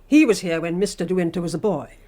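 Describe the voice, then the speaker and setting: A woman acting an older housekeeper in a radio drama, with an English accent and a measured, icily polite manner. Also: on the low side, warm tone, natural voice. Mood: reserved, pointed. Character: gaunt, severe older housekeeper, coldly formal and deferential.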